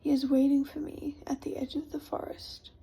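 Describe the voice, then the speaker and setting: A woman's voice: high voice, little pitch movement, normal speed, dark tone. A woman whispering the lines of a grieving, exhausted young woman in a storybook reading. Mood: sorrowful. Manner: whispered, sorrowful.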